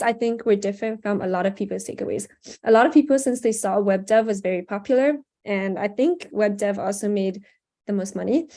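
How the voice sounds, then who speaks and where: A young woman runs a live video-call tutorial through a headset, talking in a loose, casual way full of ums and likes. Her voice sits on the mellow, darker side, and a sore throat keeps her clearing it. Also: medium pitch. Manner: casual, chatty, frequent "um" and "like". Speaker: workshop instructor. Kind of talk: live online workshop screen-share tutorial.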